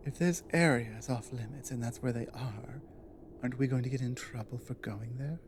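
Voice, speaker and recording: A woman playing a guarded but loyal female orc warrior in an ASMR roleplay, speaking in a halting, earnest, plainly worded way. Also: extremely low pitch, background noise. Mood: wary, practical.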